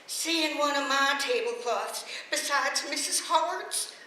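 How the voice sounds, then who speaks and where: A chatty small-town housewife from a vintage radio serial, acted by a woman on stage, high and bright with unhurried, gushing exclamations. She sounds distant in a very reverberant hall, fussing theatrically like a proud mother. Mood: chatty, fussy. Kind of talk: 1930s-40s radio serial commercial acting, staged. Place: stage of a live radio play performance. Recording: very echoey hall, far from mic, faint noise.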